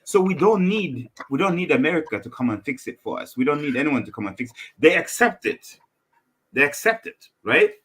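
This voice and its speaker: A man's voice: on the high side, very animated, brisk, a bit bright, husky. A man, debating casually and insistently as a podcast co-host, repeating phrases for emphasis. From Malawi. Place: small room at home, on a video call. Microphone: video call with headphones.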